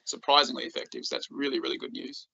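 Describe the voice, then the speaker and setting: A man's voice: medium pitch, completely flat delivery, fast talker, vocal fry, bright, forceful tone, no echo, highs cut off. A man with an Australian accent giving a conference talk as a researcher, enthusiastic and full of ums and repeated words. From Australia, rural northeast Victoria.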